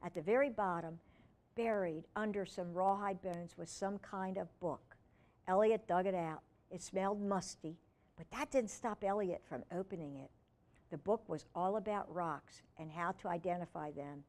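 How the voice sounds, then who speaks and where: A woman's voice: fairly low pitch, moderate pace, darkish tone. A woman reading aloud as a children's storyteller, with informal asides along the way.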